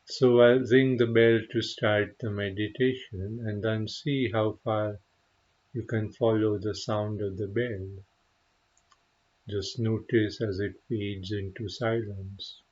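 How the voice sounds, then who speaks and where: A man leading a guided meditation as a teacher, speaking gently and unhurriedly with frequent pauses and a husky voice. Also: on the low side, flat delivery, highs cut off. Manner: gentle, unhurried, pausing often.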